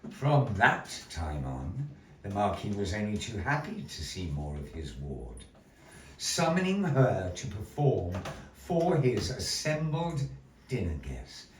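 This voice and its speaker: Male storyteller for a puppet show, slow and expressive, picked up from a distance in a reverberant gallery. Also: lowish voice. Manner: slow, theatrical storyteller with lively rises and falls. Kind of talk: storytelling narration to a live audience. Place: gallery space. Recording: echoey gallery room, voice from afar, faint noise.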